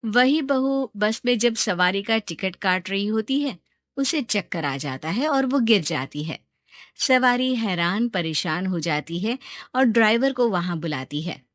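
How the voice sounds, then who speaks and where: A woman's voice: medium pitch, animated, a little slow, dry recording. A woman reading a scripted moral story as its narrator.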